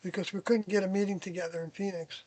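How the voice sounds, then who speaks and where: Older interviewee, mid-pitched, casual reminiscing, hesitant, long searching pauses, dry recording. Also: steady tone, darkish tone, no room sound. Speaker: interviewee, former member of a group recalling past experiences.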